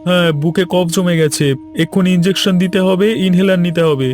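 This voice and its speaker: A man's voice: sits high, steady tone, a little fast, a little mellow, soft background music, lightly acted. A man voicing a cautioning, matter-of-fact village doctor in a cartoon. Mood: urgent, instructive. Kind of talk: cartoon voice acting.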